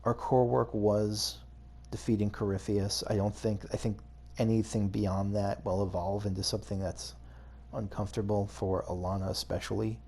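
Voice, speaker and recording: Guy doing casual game commentary, gravelly n kinda husky, thinks out loud, hesitant. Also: on the low side, level tone, normal speed, hardly any pauses. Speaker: gaming video host.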